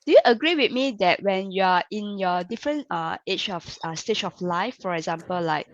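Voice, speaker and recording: A woman host from Malaysia in a casual online chat, talking warmly about family life with many fillers. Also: medium pitch, lively intonation, moderate pace, no room sound, highs cut off. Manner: chatty, relatable, many fillers. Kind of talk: casual live chat interview.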